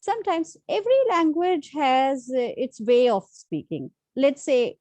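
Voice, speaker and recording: A woman's voice: sits high, big pitch swings, a little slow, dark tone, dry recording. A woman from India with an Indian accent, whose first languages are Hindi and Urdu, hosting an unscripted lesson with many fillers and restarts. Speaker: channel host giving tips. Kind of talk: informal talk-to-camera lesson.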